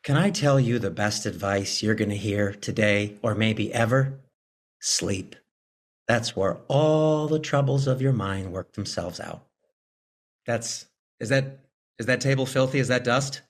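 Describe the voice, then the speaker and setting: A man with a medium-pitched voice performs a playful monologue. He takes his time, pausing deliberately between phrases.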